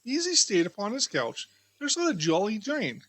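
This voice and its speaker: A woman storybook reader giving scripted, expressive audiobook narration of a classic tale. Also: medium pitch, moderate pace, slightly rough.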